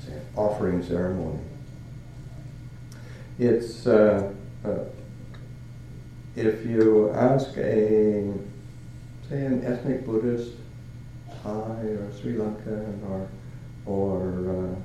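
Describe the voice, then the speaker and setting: An older man from Canada gives an unhurried dharma talk in a slow, lowish voice. He halts often, filling pauses and restarting phrases. The recording is very echoey, with steady noise in the background. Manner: halting, many fillers and restarts, chuckles. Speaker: Buddhist monk.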